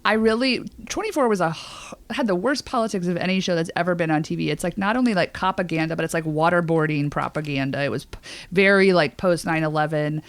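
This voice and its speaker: A woman's voice: lowish voice, quick pace, dry recording. An American woman co-hosting a podcast, talking casually in a chatty, opinionated way with many a "like". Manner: chatty, opinionated, uses "like" often. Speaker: podcast co-host.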